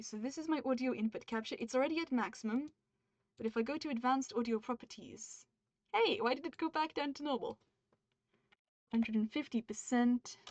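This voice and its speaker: A woman's voice: on the high side, darkish tone, dry recording. Woman from the UK with a muffled mic. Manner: breaks off mid-sentence to make edits and talk to chat; upbeat and friendly with viewers. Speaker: writer streaming her editing session. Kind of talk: livestream, reading her draft aloud and chatting with viewers. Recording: dull, muffled stream mic.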